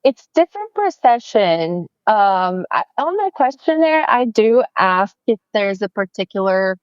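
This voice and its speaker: A woman's voice: mid-range voice, sing-song, measured pace, no room sound, muffled recording. A woman from Brazil with a Brazilian accent, chatting in an interview about her photography work, friendly and full of fillers and restarts. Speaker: family photographer, guest. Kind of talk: casual interview chat.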